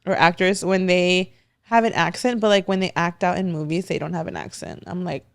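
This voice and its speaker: A young adult woman with a Mexican American accent from Los Angeles and a slight lisp, co-hosting a podcast in chatty, excitable casual talk. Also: on the low side, fairly quick, creaky, dry recording, studio quality. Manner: chatty, excitable, gasping asides and laughter. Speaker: podcast co-host. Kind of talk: casual chat.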